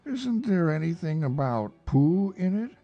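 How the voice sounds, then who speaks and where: A man voicing a proud, simple-minded male bear with a growly voice and slow speech. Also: on the high side, sing-song, highs cut off, soft background music, voice pushed up, heavily acted.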